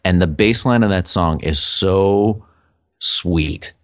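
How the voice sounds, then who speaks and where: Low-voiced man chatting. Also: slow, no echo. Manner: chatty, rambling with restarts. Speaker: podcast co-host. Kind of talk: casual chat.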